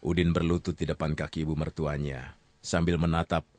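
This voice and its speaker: A man narrating a radio story in a calm, measured way, with casual asides to the listener. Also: rumbling low, no echo.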